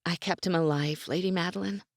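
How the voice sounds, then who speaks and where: Reader doing steadfast young wife, earnest, pleading, dry close storybook sound. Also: female voice, on the low side, steady tone, a little fast, dry recording. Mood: grave.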